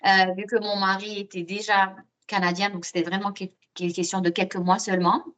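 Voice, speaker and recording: A woman's voice: medium pitch, level tone, a little fast, a bit bright. A woman from Morocco talking casually as an interview guest, telling her own story with repeated words as she thinks. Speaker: podcast guest; osteopath and student.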